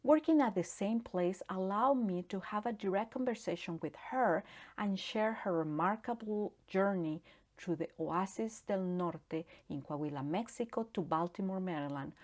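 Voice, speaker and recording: A woman's voice: lowish voice, a little mellow. A woman host delivering scripted narration to introduce an interview guest and give background.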